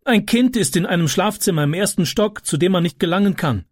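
A man's voice: high voice, expressive, a little fast, no echo, clean studio sound, pitched above the performer's own voice. A man doing a firm commanding mother. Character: determined, capable mother taking charge.

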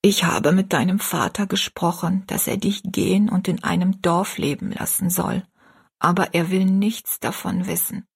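A woman voicing a gravely ill, gentle and pious mother in a story, half-whispered, slow and earnest. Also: fairly low pitch, heavily acted. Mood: tender, weak.

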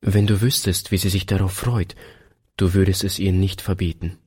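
A man doing a gentle, explaining mother. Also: sits low, level tone, moderate pace, slightly rough. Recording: long hall reverb.

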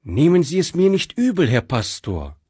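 A man's voice: fairly high pitch, sing-song, normal speed, warm tone, breathy edge, no room sound, clean studio sound, voice pushed up. A man voicing a humble, pious young wounded soldier in a story. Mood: apologetic.

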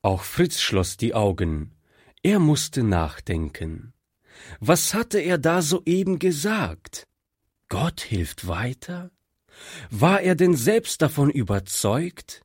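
Male audiobook narrator, slow and measured, husky, lively pitch, dry close mic. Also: dry recording. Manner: slow, measured, devout storytelling.

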